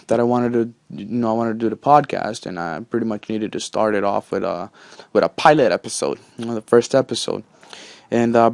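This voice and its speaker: A man's voice: medium pitch, a little mellow, dry recording. A man in his twenties from Honduras, hosting a casual solo podcast and rambling with many fillers and restarts, recorded on his phone. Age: 20s. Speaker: podcast host. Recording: dry phone recording with faint music.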